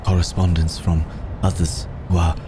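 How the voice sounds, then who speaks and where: A man playing a humble, devoted servant in an ASMR audio roleplay, speaking in halting, deferential, formal phrases. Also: deep voice, level tone, natural voice. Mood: subdued, reverent. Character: humble, devoted male servant of an exalted undead ruler. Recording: clear background storm ambience noise.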